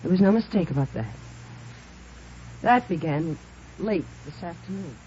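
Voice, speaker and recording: An actress playing a frightened, secretive young woman in a 1950s radio drama, speaking haltingly and nervously. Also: fairly low pitch, lively intonation, dark tone, natural voice. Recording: muffled, noisy vintage radio recording.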